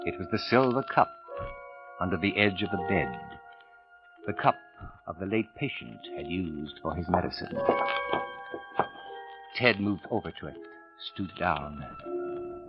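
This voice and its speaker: A man narrating 1940s radio suspense in a dramatic scripted style, with deliberate pauses and ominous build-up. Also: sits low. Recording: dull vintage radio recording with music.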